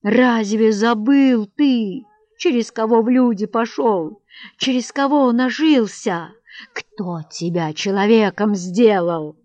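A woman's voice: lowish voice, lively intonation, normal speed, hardly any pauses, a little mellow, dry recording, lightly acted. A woman voicing a furious middle-aged landowner's wife who shrieks and wails reproaches in an audiobook reading. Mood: shrieking. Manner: screeching, wailing reproaches.

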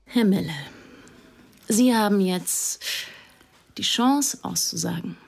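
Persistent female police investigator, medium pitch. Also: animated, slow pace, gravelly, darkish tone, faint music behind, full character voice. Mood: pressing, dry. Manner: probing, insistent, dry. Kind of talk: German radio crime drama acting.

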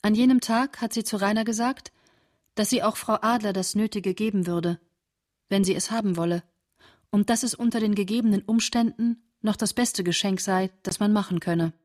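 An actress playing a reflective woman reading from her wartime diary in a measured, intimate literary radio drama delivery, with a slightly husky voice. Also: medium pitch, fairly even intonation, a little mellow, natural voice.

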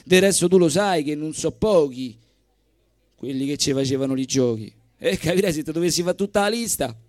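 Man voicing bickering high-pitched Roman husbands. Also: expressive, brisk, a little mellow, no echo, studio quality, lightly acted. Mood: gossipy, exasperated. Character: two dead husbands, jealous and boastful, bickering. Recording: live evening show recording with music.

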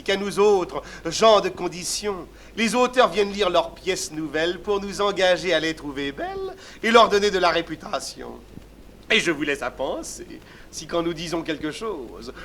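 A preening, self-important fop, boasting in a very high, bright, cutting voice. He performs classical stage comedy and wallows in his own brilliance. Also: animated, normal speed, slight background noise. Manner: pompous, affected, preening. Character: vain, foppish male nobleman, boastful poseur. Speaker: stage actor. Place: theater stage before a live audience.

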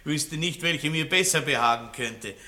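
Ein Schauspieler mit österreichischem Akzent spielt in einem Hörspiel einen großspurigen, lüsternen Landbaron mittleren Alters, der schwadroniert und prahlt. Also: male voice, fairly high pitch, normal speed, pushed and bright. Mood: bragging. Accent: Austrian. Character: boorish lecherous middle-aged country baron. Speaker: radio play actor. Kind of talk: 1960s radio drama acting.

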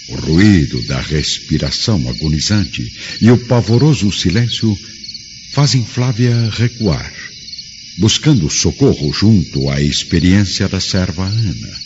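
A man's voice: sits low, background noise. A man narrating a scripted radio drama in a solemn, theatrical way. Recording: dull lo-fi, dramatic music behind.

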